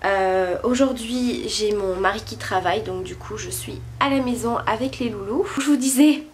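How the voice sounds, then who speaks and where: A woman's voice: medium pitch, normal speed, slight background noise. A woman, chatting casually in a home vlog with a stuffy nose from a cold, hesitating often with fillers. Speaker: vlogger. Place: at home, sitting on her bed.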